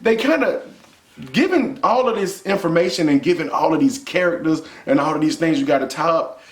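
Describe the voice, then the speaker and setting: An American man chatting casually as he hosts a review vlog, colloquial and animated, repeating phrases for emphasis in an echoey room. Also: a little high, fairly quick. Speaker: vlog host reviewing a film. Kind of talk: casual chat, movie review. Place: indoor room with bare wall. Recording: roomy indoor echo.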